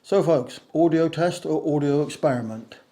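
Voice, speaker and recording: A man speaks casually to camera, a little hoarse after a cold. He rambles and wanders with plenty of "uh" and "so" and pokes fun at his own jokes. His voice is caught on a wireless lapel mic in a glass conservatory with a touch of echo. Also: fairly high pitch, normal speed, dark tone, soft background music. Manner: rambling, many "uh" and "so" fillers, self-mocking jokes. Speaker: video creator explaining audio gear. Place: conservatory used as a home studio, a little echoey.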